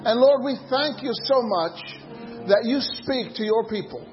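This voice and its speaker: A woman's voice: fairly high pitch, expressive, a little slow. A woman leading a church service, speaking in fervent, declamatory phrases of praise and exhortation to the congregation. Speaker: church worship and service leader. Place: church hall during a live service. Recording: muffled live church sound with loud music. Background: loud worship music.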